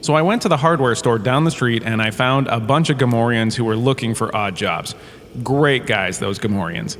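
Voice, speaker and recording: Audio drama comedy lead: male actor as breezy, self-excusing, has-been star lecturing, mid-pitched, reverberant space. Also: moderate pace, fairly bright tone, natural voice. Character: washed-up, self-important holodrama star turned galactic history lecturer. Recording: cavernous reverb with faint background noise.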